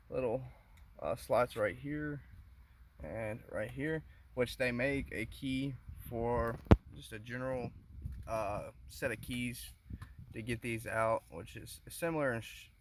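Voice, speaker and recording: A man with an average-pitched voice talks through a hands-on task in a casual, unscripted way. He pauses and thinks aloud as he works. The sound is a handheld phone recording inside a car, with some faint noise behind him. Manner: informal, halting, thinking aloud while working. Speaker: car DIY demonstrator. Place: inside a car, close quarters.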